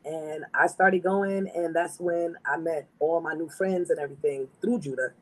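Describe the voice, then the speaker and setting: A woman artist with a raspy voice, answering interview questions in a confident, emphatic way. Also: deep voice, moderate pace, low-quality recording. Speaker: guest artist.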